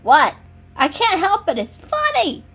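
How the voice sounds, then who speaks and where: A woman's voice: very high voice, huge pitch swings, unhurried, a bit bright, soft background music, voice pushed up, heavily acted. A woman voicing a teasing, mischievous gray male cat in a storybook, with a casual, cheeky manner. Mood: amused.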